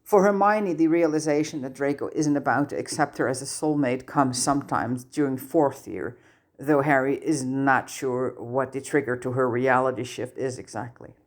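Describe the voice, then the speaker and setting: Low-pitched woman reading a story. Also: moderate pace. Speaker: fan fiction audiobook reader. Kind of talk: scripted narration of a story.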